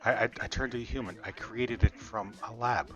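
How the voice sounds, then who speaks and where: A man voicing an earnest dragon shapeshifter in improvised online roleplay, explaining himself in halting, stammered phrases. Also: lowish voice, over music, close to an everyday voice. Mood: explanatory. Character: earnest male dragon shapeshifter, once human and robot.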